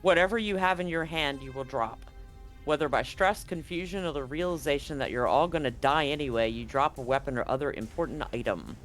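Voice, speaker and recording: A man's voice: a little high, fairly quick, a bit bright, faint music behind. Man narrating as game master. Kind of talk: narrating a tabletop horror game.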